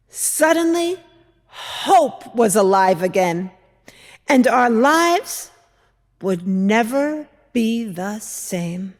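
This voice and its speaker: A woman playing an earnest biblical widow, a mother-in-law telling her story as a measured, expressive dramatic monologue with deliberate pauses. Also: on the high side. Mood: hopeful, exultant. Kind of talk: dramatic monologue reading in church. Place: large church, indoors. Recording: echoey church hall, long reverb.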